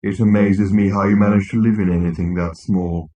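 A man voicing a depressed, disdainful male robot in a low, dull, resigned voice for an audiobook. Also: fairly even intonation, brisk, mellow, natural voice. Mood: gloomy, resigned.

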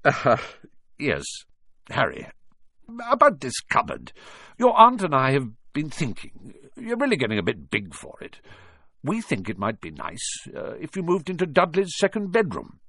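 A man's voice: a little high, wildly swooping pitch, normal speed, few pauses, husky, no echo, voice pushed up, full character voice. A man voicing a blustering, bullying middle-aged uncle who barks, sneers and snaps in a storybook reading. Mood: awkward. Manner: barking, sneering, snapping.

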